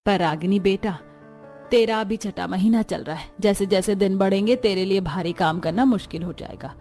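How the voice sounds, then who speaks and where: A voice actress playing an older mother-in-law who runs the household with caring firmness, in an animated story. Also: mid-range voice, moderate pace, soft background music, natural voice. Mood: coaxing. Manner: motherly, gently commanding.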